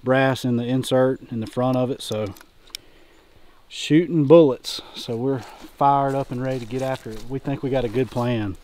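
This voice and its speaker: A man's voice: mid-range voice, moderate pace, darkish tone. A man in his thirties hosting a casual hunting vlog, talking in a folksy way with hunting slang. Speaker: hunting show host. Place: outdoors in open country, and in a vehicle cab. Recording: noisy outdoor and vehicle ambience.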